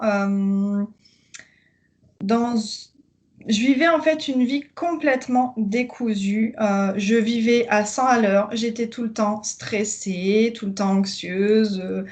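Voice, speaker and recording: French woman in her 30s, kinda high voice, lots of euh and restarts, talking over a video call. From northern France. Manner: hesitant, many fillers and restarts. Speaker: coaching client giving a testimonial. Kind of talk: conversational interview.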